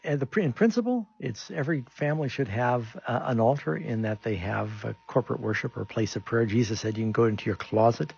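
Man, radio pastor, call-in show, gravelly, husky, explaining, dry muffled sound. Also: medium pitch, fairly quick, hardly any pauses, a little mellow. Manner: conversational, explanatory.

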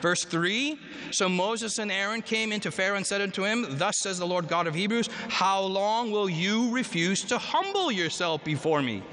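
A man's voice: sits high, animated, moderate pace, bright, forceful tone. A man preaching a sermon and reading scripture, speaking emphatically and quizzing his congregation. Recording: long hall reverb, faint noise.

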